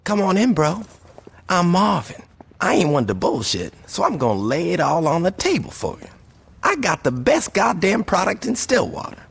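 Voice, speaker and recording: An actor playing a brash, scheming drug dealer in a video game, slangy and swaggering with a husky, gravelly voice. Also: male voice, high voice, big pitch swings, moderate pace, full character voice. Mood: cocky.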